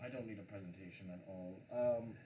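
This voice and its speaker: A man's voice: sits low, a little fast, dark tone, reverberant. A man playing a tabletop game, talking hesitantly and flustered, with many ums. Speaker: player.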